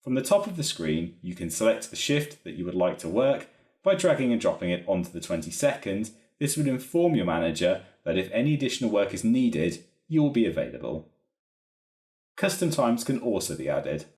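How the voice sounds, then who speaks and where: The recording is roomy and echoey. A man with a fairly low voice narrates an instructional walkthrough. He explains each step methodically, with lively, varied intonation. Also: brisk. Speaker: software trainer.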